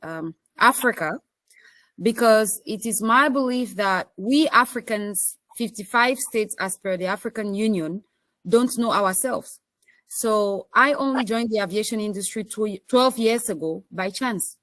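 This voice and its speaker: A woman's voice: mid-range voice, measured pace, a bit bright, no room sound. Cameroonian woman, dry close sound. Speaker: panelist, association president. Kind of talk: panel self-introduction.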